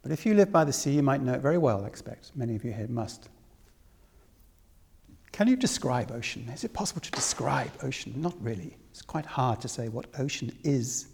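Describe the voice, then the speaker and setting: A man from Wales teaches spiritual philosophy to a room in a creaky, soft-edged voice. He builds his points deliberately, repeating phrases and posing questions. Long hall reverb surrounds his words. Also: mid-range voice, animated. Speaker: spiritual teacher leading a talk. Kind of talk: spiritual discourse to a live audience.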